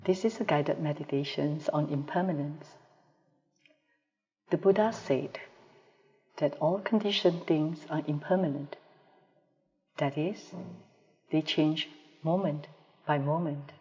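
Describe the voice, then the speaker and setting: A woman leading a guided meditation as a teacher, calm and measured, with pauses between phrases, recorded over a live video call. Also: low voice, muffled recording. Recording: reverberant hall-like sound, live video call.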